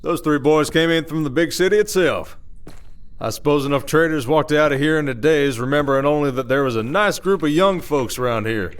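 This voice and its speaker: A man's voice: a little high, brisk, clear, resonant tone, lightly acted. Man playing a wry drawled werewolf sheriff. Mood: wry, explaining. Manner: folksy, dry-humored, rambling explanations. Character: weary, wry, protective male werewolf sheriff of an Old West town. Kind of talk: ASMR audio roleplay acting.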